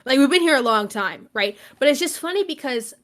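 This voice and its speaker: A woman's voice: high voice, lively intonation, brisk, a bit bright. A young woman from Quebec, a native English speaker with a Quebec-tinged accent, co-hosting a casual podcast chat and explaining at length with many fillers and asides. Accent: Quebec French-tinged English. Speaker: podcast co-host.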